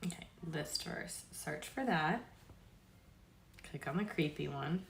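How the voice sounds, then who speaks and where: Low-voiced woman, informal streaming host. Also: lively intonation, slow, slight background noise. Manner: informal, sweary, stumbles over longer words. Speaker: host reading aloud to viewers. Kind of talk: casual livestream read-aloud with chatty asides.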